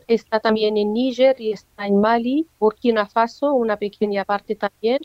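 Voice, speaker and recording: Woman author, slow thoughtful answers, dry slightly muffled sound. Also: on the high side, no room sound. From North Africa. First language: Amazigh. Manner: measured, often tags "¿no?". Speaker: author, interview guest. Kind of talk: reflective interview answers.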